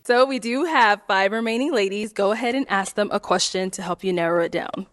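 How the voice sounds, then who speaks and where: A woman hosting a dating show, prompting contestants briskly. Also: mid-range voice, no echo.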